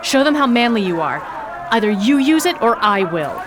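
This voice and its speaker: A woman playing a rough-spoken, cheeky fellow passenger in a radio drama. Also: fairly high pitch, sing-song, moderate pace, background noise. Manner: brash, slangy and cheeky.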